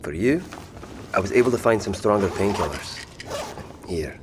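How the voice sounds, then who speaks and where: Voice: low-pitched, expressive, normal speed. An actor playing a caring, firm companion in an audio drama, speaking with patient insistence. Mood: firm concern. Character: caring, firm companion nursing a wounded partner. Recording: long reverberant hall, faint noise.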